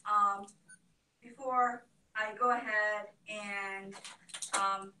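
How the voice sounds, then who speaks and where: Female event host from Afghanistan, sharp bright tone, hesitant formal speech, echoey room pickup. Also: medium pitch, fairly even intonation, drawn-out words. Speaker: event host introducing speakers. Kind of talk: formal introductory speech, partly read out. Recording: echoey room, distant microphone.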